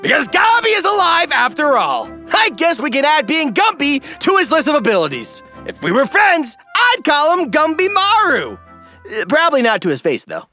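A man's voice: very high-pitched, wildly swooping pitch, moderate pace, pushed and bright, faint music behind. A man delivering scripted comedic recap narration in a theatrical, wry, emphatic way.

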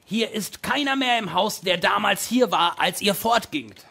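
An actor playing a shrivelled, eager old manservant in a radio play, deferential and quirky, with a husky, creaky voice. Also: male voice, sits high, animated, unhurried, pushed and bright, soft background music, exaggerated voice. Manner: deferential, old-fashioned formal address. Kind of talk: German radio play acting.

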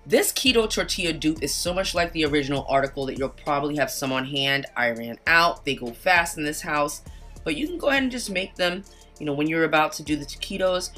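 A woman narrating a cooking video in a voice-over, chatty and relaxed, giving casual step-by-step instructions. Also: deep voice, brisk, soft background music. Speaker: cooking channel host. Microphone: home voice-over mic.

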